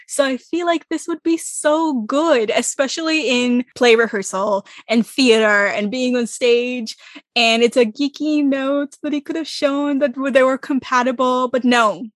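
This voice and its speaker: A woman's voice: high voice, a little slow, dry recording, clean studio sound. A young woman from Israel chatting animatedly about a musical, laughing often. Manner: animated, laughs often, self-described loud. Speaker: co-host discussing musicals. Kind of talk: casual podcast chat.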